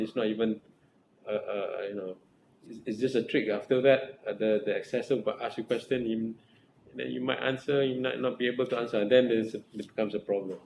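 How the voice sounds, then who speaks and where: A man's voice: mid-range voice, creaky, a little mellow. A middle-aged man with a Malaysian accent advising a research student as supervisor, earnest and repetitive, restarting phrases and asking "right?" often. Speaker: academic supervisor. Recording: echoey room with faint noise.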